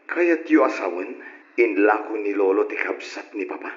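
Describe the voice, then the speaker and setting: Man playing a calm, level-headed older brother. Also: lowish voice, moderate pace, faint music behind, lightly acted. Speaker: son. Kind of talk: 1940s-style radio drama acting. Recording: echoey, dull old radio recording.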